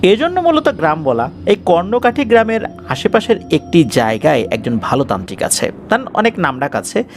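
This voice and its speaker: A man's voice: a little high, huge pitch swings, moderate pace. A man narrating a scripted ghost story in a dramatic storytelling style. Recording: music bed behind the voice.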